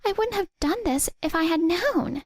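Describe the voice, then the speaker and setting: A woman's voice: very high-pitched, lively intonation, moderate pace, no room sound, clean studio sound, voice pushed up. A woman voicing an indignant, flustered young mistress, whiny and accusing, in a dramatised story. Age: young adult. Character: shrill, flustered young mistress throwing a tantrum.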